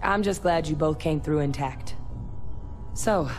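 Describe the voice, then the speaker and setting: An actress playing an earnest former military scientist in a sci-fi video game, speaking in a measured, explanatory way. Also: female voice, sits low, over music. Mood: grateful, concerned. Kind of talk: video game dialogue acting.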